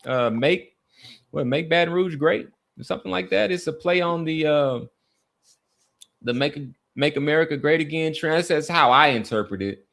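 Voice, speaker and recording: A man's voice: fairly high pitch, normal speed, vocal fry, a bit bright. A man hosting a casual sports talk podcast, speaking emphatically and often repeating his phrases for weight.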